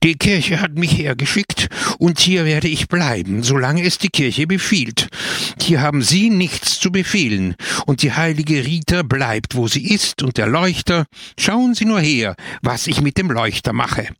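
A tiny young priest, acted by a male narrator in a storybook, proud and stubborn. His voice sits high and turns shrill when he is roused. The recording is dry. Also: expressive, moderate pace, hardly any pauses, darkish tone, slightly rough, dry recording. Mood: shrill defiance.

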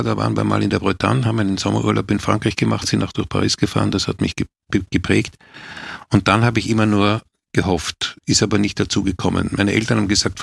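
Austrian actor in his sixties, deep husky voice, unhurried reminiscing, dry close sound. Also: fairly bright tone, no room sound. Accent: Austrian German. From Austria, Vienna. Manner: measured, thoughtful, repeats words while searching. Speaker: actor recounting his life. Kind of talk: reflective autobiographical talk.

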